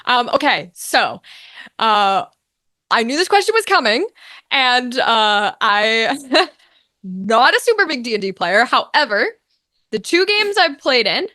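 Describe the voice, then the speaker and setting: A woman speaking as an interview guest in casual, animated chat, telling stories and acting out bits of dialogue. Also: fairly high pitch, slow, dry recording. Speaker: guest, actor and streamer.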